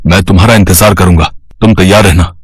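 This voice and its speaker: A man playing a scheming young lover and abusive husband in a melodramatic cartoon story, husky and sly. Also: low-pitched, fairly even intonation, fast talker, no room sound, clean studio sound. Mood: sly persuasion.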